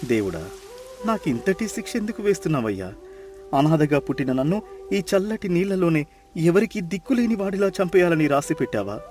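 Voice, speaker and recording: A man playing a young fisherman husband, good-humoured, devoted and emotional, with an expressive, dramatic storybook delivery. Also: high-pitched.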